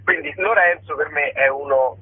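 Husky man on a tinny phone. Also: fairly high pitch, moderate pace. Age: middle-aged. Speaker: actor interviewed as guest. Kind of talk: casual radio interview. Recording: tinny phone line with echo.

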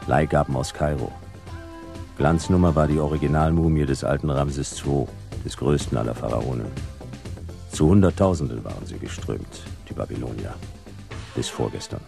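A hard-boiled male narrator for a radio detective play. His voice is extremely deep and creaky, with terse, dry delivery. Music plays clearly behind him. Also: normal speed, mellow, exaggerated voice. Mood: dry, wry. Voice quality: gravelly.

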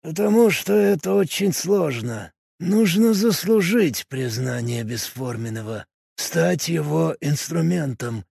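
Male reader doing a zealot cultist: slow, rasping, hushed, preachy. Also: expressive, no echo, clean studio sound, full character voice. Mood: solemn preaching. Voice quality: low, creaky, husky murmur. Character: fanatical male cult adept, calm, solemn. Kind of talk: audiobook character voice.

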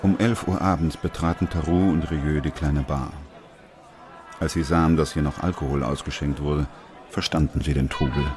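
An actor playing a composed doctor who narrates a plague chronicle in a measured, sober radio drama style. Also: male voice, rumbling low, steady tone.

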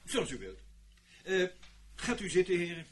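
An actor playing a proud, courteous old British colonel in a radio play, speaking formally and with some hesitation. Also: male voice, high voice, big pitch swings, moderate pace, a bit bright, husky, heavily acted. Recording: noisy old radio drama recording, clicks.

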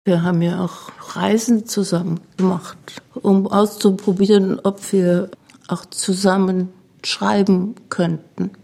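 Slow, mellow-voiced woman reminiscing. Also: mid-range voice, flat delivery, vocal fry, husky. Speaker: interviewee, author. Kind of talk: reminiscing in conversation.

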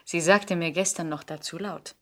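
An actress playing a flirtatious, sharp-tongued society lady in a 1960s German radio play, elegant and teasing. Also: on the low side, steady tone, normal speed, lightly acted. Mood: catty, amused.

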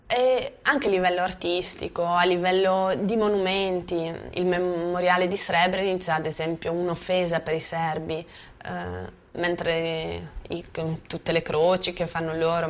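Low-pitched Bosnian woman talking informally. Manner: informal, seeking agreement with tag questions. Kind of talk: conversational spoken commentary. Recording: faint background noise.

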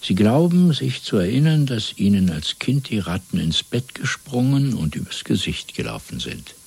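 A cold, methodical torturer of a totalitarian state, in a seventies radio drama. His voice is low and very husky. He speaks with measured, clinical menace. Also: big pitch swings, darkish tone, exaggerated voice. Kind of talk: 1970s German radio play acting.

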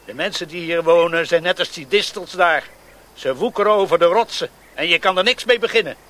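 An actor playing a bitter, hot-tempered village friar and schoolmaster, scornful and scolding, in a radio drama. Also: male voice, high-pitched, fairly quick, pushed and bright.

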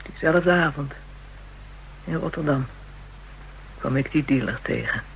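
A woman's voice: low voice, a little slow, creaky, slight background noise, poor audio. Bitter, hard-bitten young call girl. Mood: bitter, defiant. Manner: coarse, slangy, defiant. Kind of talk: radio drama acting.

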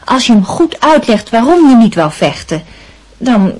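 A woman playing a kind, middle-aged aunt who speaks in a gentle, coaxing way, in a radio play. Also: on the high side, sing-song, unhurried, slightly rough, exaggerated voice.

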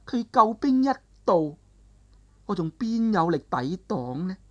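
A male storyteller voicing an aged woman martial arts master who is bitter and grieving, in a high strained falsetto that wavers between laughter and sobbing. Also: sing-song, measured pace, a bit bright, full character voice. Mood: mocking. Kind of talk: traditional storytelling, voiced character dialogue.

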